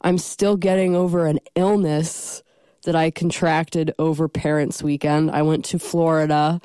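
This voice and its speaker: Young woman, college radio host, stuffy from a cold, rambling chat, smooth clear voice, dry studio sound. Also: deep voice, level tone, unhurried, dark tone, dry recording. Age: young adult. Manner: rambling, self-deprecating, many ums.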